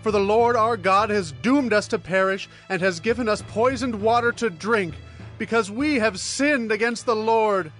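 A man's voice: sits high, normal speed, fairly bright tone, faint music behind. A man acting a despairing member of a doomed people in a scripture drama, urgent and lamenting.

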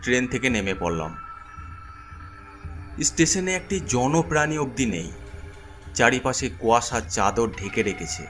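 A man's voice: mid-range voice, animated, normal speed, pauses often, fairly bright tone, soft background music. A man narrating a horror story in the first person in a dramatic, suspenseful, theatrical way.

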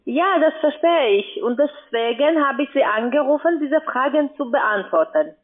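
Female phone sales agent, fairly high pitch, insistent and formal, thin telephone sound. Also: lively intonation, normal speed. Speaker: call center agent. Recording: tinny band-limited phone line.

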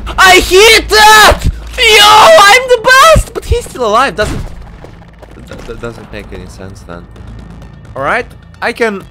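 A man hosting a gaming video with excited, exclamatory commentary, stammering and peppering his talk with slang. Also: very high voice, slow, shouting, loud music behind the voice.

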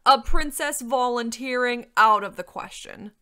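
A woman's voice: a little high, a little slow, sharp tone, smooth, voice pushed up. A woman voicing a large, stuffy male dragon in a storybook, grumpy and scornful in manner. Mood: scornful, irritable.

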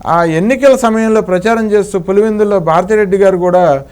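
Male interviewer, conversational political questioning, quick to interject agreement. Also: high-pitched, a little mellow, clean tone. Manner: chimes in with short agreements.

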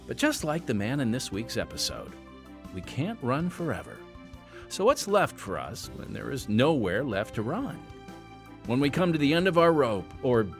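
A man with an American accent hosting and narrating scripted dramatic introductions, theatrical and speaking straight to the listener. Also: mid-range voice. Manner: theatrical, addresses listeners directly. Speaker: podcast host and narrator. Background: music.

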